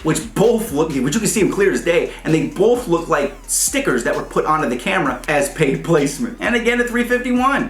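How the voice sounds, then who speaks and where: A man giving casual music business advice, fast and animated as he breaks down examples. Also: high voice, echoey room. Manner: fast, animated, pointing things out. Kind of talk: casual advice talk to the camera.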